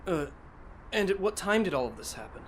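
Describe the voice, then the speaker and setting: A man's voice: sits high, sing-song, brisk, a little hiss or hum. A man in an anime fan dub playing a weary, puzzled teenage schoolboy with a dry, terse, sardonic delivery. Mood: confused.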